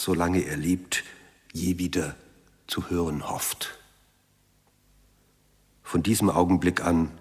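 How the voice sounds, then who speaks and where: A man narrates dramatically in a low, husky voice. The delivery is grave and very slow with halting phrases, set in a long, hall-like reverb. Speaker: reader of a dramatic account.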